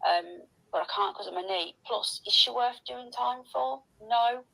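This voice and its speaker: Bright, gravelly, blunt sweary woman. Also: on the high side, a little slow, rough recording. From Northern England. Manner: blunt, aggressive, heavy swearing. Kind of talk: sweary, ranting voice-message gossip.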